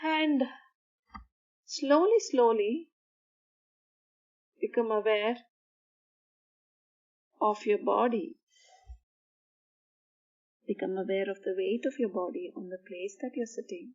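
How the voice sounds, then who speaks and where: A woman guiding a sleep meditation in a soothing, measured manner, pausing between short phrases. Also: mid-range voice, darkish tone, highs cut off. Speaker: meditation guide. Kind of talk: guided meditation for sleep, slow instruction.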